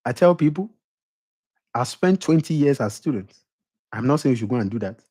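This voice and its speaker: A man's voice: medium pitch, expressive, normal speed, dark tone, breathy edge. A middle-aged man with a Nigerian accent giving an emphatic motivational lecture, repeating his points and putting questions to the audience. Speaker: motivational speaker.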